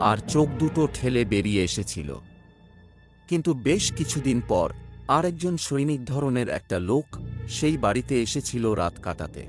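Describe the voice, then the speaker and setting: A man narrating a scripted horror story as a storyteller, in a dramatic, measured delivery. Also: mid-range voice. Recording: music under the voice. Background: background music.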